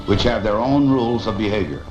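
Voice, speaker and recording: A man with an American accent narrates a 1970s instructional film in a low register. His delivery is formal, measured and authoritative. The old soundtrack is roomy, with clear music under the voice. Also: muffled recording.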